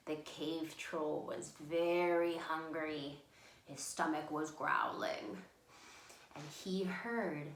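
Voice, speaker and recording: A woman hosting a library storytime, telling a children's story deliberately with dramatic pauses. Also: sits low. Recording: echoey room sound.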